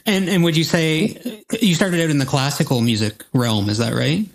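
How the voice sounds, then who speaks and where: A man's voice: fairly high pitch, moderate pace, vocal fry, poor audio. A man hosting a casual interview, asking questions hesitantly and rephrasing them as he goes. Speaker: podcast host.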